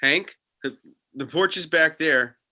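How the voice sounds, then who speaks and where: Nervous young sleuth, played by a man: sharp, bright, higher-pitched voice, sarcastic and exasperated, animated table-read delivery. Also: slow, exaggerated voice. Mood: puzzled. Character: Art, nervous sarcastic young paranormal investigator. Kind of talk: live script table read.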